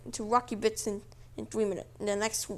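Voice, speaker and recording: A woman delivering a mock emergency broadcast announcement in a halting way, repeating words. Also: medium pitch, little pitch movement, a little hiss or hum. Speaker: narrator of a mock emergency alert.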